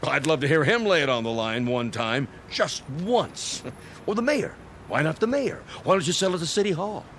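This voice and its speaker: A man's voice: on the high side, animated, moderate pace, a bit bright, slight background noise. A man playing a folksy, wily local politician in an audio drama, blustering and full of old-fashioned slang. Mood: animated, scheming. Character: folksy, wily local politician haggling over a used car.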